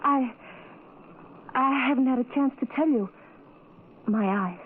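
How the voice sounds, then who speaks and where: A muffled, faintly hissy old radio drama carries a tender blind woman in love. She speaks very slowly, in halting, earnest pleas full of devotion. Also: sits high. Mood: hopeful pleading. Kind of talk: old-time radio melodrama acting. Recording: muffled, noisy vintage radio recording.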